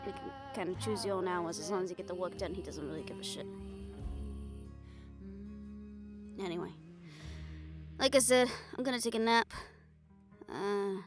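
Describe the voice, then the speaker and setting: A woman's voice: medium pitch, natural voice. A woman playing a wry, affectionate, bossy girlfriend in an improvised, intimate audio roleplay, talking casually with slangy teasing and swearing. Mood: tired, tender. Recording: music behind the voice.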